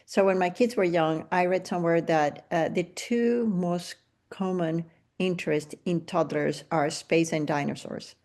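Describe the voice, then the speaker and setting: Low-pitched older woman podcast host. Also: unhurried, darkish tone. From Venezuela. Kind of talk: casual interview chat.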